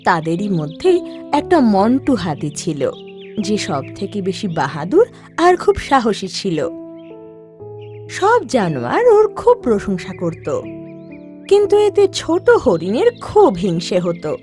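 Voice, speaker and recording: A woman narrating an animated children's story in an expressive, dramatic storytelling manner. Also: mid-range voice, slow, soft background music.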